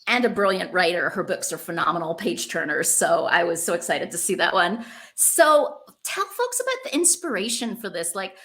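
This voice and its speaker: A woman's voice: fairly low pitch, sing-song, moderate pace, hardly any pauses, fairly bright tone. A woman hosting a conversational book interview, speaking in an effusive, enthusiastic way. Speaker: podcast host interviewing an author.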